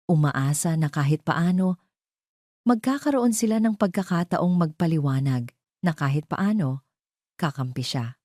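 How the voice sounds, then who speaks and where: A woman narrating a scripted romantic audio drama in a dramatic, deliberate storyteller's manner. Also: deep voice, expressive, a little mellow, dry recording, clean studio sound.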